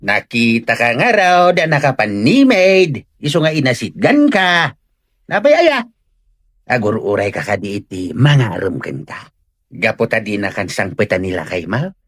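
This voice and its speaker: A woman's voice: very deep voice, very animated, moderate pace, full character voice. A woman playing a nosy, mocking village woman in an Ilocano comedy drama, teasing in a taunting sing-song. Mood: needling.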